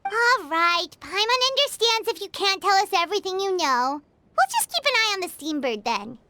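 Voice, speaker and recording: A tiny fairy sidekick with an extremely high, childlike voice. She chatters excitably and her pitch bounces around, like a cute video game mascot. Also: moderate pace, no room sound, exaggerated voice. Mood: resigned, chatty. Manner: refers to self in third person.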